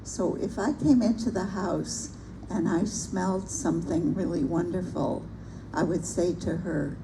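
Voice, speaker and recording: An older woman with a soft, gentle voice, reading a favorite poem aloud at a bookstore event and talking about it reflectively. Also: fairly low pitch, a little slow. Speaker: poetry reader at a public reading. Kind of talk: reciting a poem with personal remarks. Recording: noisy live event recording.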